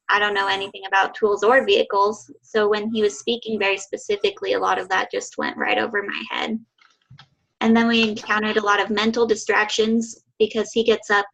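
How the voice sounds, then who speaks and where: A young woman giving a class presentation over a video call, with a high voice and a slight lisp. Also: a bit bright, a little hiss or hum, poor audio. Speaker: student presenter.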